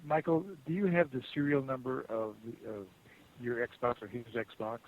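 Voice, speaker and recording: A man working as a customer service agent on the phone, speaking in a halting, rambling, conciliatory way. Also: mid-range voice, darkish tone. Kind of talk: unscripted phone support conversation. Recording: muffled phone call with hiss.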